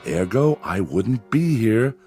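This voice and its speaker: Male as deliberate, reasoning psychiatrist, lowish voice with lively inflection, drama with music behind. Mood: reasoning, emphatic. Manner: deliberate, explanatory, emphatic. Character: measured, rational male psychiatrist reasoning with patient. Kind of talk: dramatic dialogue and first-person narration.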